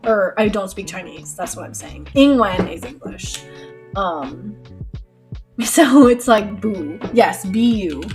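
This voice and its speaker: A woman's voice: medium pitch, animated, takes it slowly. A young woman streaming live while she paints, chatting casually with lots of fillers, her nose stuffed up. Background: soft lo-fi music.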